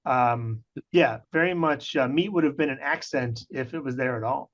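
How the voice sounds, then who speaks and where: A man's voice: mid-range voice, moderate pace, dull audio. A man in his forties from Pennsylvania, a guest on a radio show, explaining his ideas thoughtfully with hesitant restarts. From United States (Pennsylvania). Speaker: guest, researcher. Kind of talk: casual radio interview.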